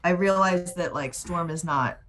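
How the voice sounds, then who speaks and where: A woman's voice: lowish voice, measured pace, fairly bright tone, faint music behind. A woman playing a tabletop roleplaying game, describing her moves in informal, plain-spoken language.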